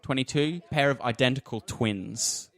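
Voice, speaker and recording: A man's voice: mid-range voice, normal speed, fairly bright tone. A man narrating a tabletop roleplaying game as game master, with descriptive storytelling.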